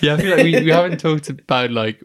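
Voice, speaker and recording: A man's voice: on the high side, quick pace. A man co-hosting a casual podcast, tossing in jokey questions and teasing asides. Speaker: podcast co-host. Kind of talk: casual chat.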